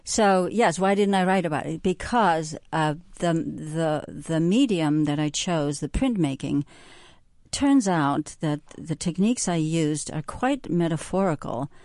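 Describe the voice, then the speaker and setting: A woman's voice: low-pitched, lively intonation, a little slow, darkish tone, no echo, clean studio sound. A woman, a visual artist, answering interview questions thoughtfully, hesitating and searching for words. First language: German.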